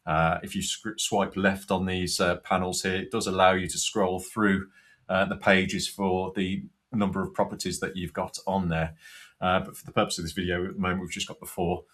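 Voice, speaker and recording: A man with a low, deep voice talks through a product demonstration. His delivery is informal and unscripted, explaining each step as he goes in the manner of a practical tutorial. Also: level tone, normal speed, a bit bright.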